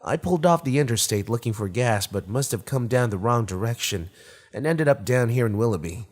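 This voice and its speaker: A man's voice: mid-range voice, animated, brisk, a little mellow, close to an everyday voice. A man voicing a polite, hesitant young man in an audiobook, a little nervous and awkward.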